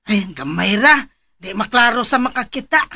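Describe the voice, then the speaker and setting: Fretful filipino auntie, cebuano accent, high bright voice, radio drama. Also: female voice, animated, normal speed, creaky, exaggerated voice. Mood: doubtful. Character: fretful aunt helping search, homely older relative. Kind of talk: Philippine radio drama acting.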